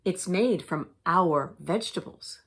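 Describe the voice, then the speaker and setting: Woman reading as a slow-spoken, gentle storybook mother. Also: lowish voice, slightly rough, heavily acted. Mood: gentle, reassuring. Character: warm Chinese mother explaining her garden vegetables.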